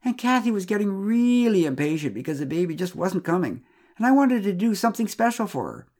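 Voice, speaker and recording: A man voicing an excitable, defensive expectant father explaining himself in a children's story. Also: mid-range voice, quick pace, husky. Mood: flustered protest.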